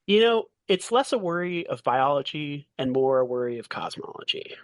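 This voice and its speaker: A man acting as game master, narrating a tabletop game in a casual way with a halting, deliberate delivery. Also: on the high side, sing-song, no room sound.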